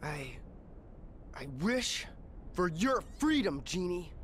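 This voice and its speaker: An actor playing an earnest, kind-hearted young street hero in a video game, with an emotive delivery. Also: male voice, high voice, very animated, takes it slowly, fairly bright tone, slight background noise, heavily acted. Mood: sincere, subdued. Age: young adult. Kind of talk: video game cutscene acting.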